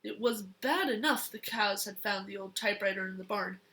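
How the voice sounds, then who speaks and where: A young child reading a picture book aloud in a deliberate, expressive way. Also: mid-range voice. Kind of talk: storybook read-aloud.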